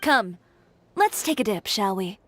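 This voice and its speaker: A woman's voice: mid-range voice, very animated, a little slow, fairly bright tone. A woman voicing a proud, determined young fashion designer, composed and firm, with game music behind. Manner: composed, dry, firm and self-assured. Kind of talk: video game voice acting.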